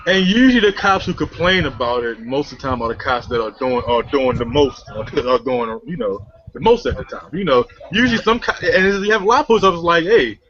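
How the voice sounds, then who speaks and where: A man's voice: fairly high pitch, a bit bright, slight background noise. A man co-hosting a podcast with casual, profane banter. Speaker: podcast co-host. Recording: dull lo-fi audio.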